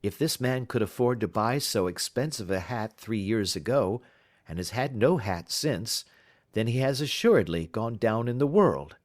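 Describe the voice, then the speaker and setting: Audiobook detective performed by a male reader: self-assured, precise, teasing, pompous, with very animated intonation. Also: lowish voice, moderate pace, natural voice. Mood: triumphant, explaining. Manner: crisp, lecturing, pompous. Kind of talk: audiobook character dialogue.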